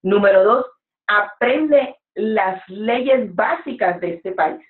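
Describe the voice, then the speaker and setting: A woman's voice: mid-range voice, animated, slow. Earnest woman preacher on a tinny video call. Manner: earnest, exhorting, with gestures. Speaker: guest preacher. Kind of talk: motivational interview talk. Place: home room with bookshelves. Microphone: webcam on a video call. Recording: echoey room, phone-like sound.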